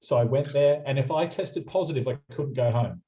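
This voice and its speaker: Young aussie guy telling a story, warm mellow voice, kinda muffled lo-fi audio. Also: medium pitch, slight background noise. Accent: Australian. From Australia. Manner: quick anecdotal storyteller, chatty. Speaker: radio presenter, guest. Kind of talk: casual storytelling interview.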